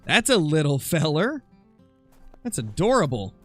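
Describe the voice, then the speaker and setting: A man's voice: a little high, big pitch swings, a little slow, faint music behind. A man streaming a game, chatting casually and thinking aloud as he answers viewers. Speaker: live streamer, gamer. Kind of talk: casual livestream chat over gameplay, answering viewer comments.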